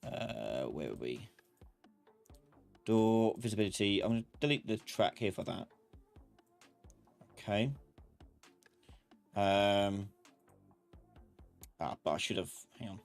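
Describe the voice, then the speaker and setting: A man with a fairly low voice leads a live software class in an informal way. He explains each step while he works, often muttering his thoughts aloud. Soft music plays behind him along with keyboard typing. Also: expressive, slow pace, creaky, long pauses. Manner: informal, thinking aloud, talking to himself. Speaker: instructor of a live online class.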